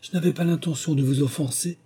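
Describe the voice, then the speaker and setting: A man's voice: fairly high pitch, moderate pace, warm tone, husky, pitched above the performer's own voice. A man voicing a polite, naive little girl in a storybook, earnest and eager to please. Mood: apologetic. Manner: earnest, conciliatory.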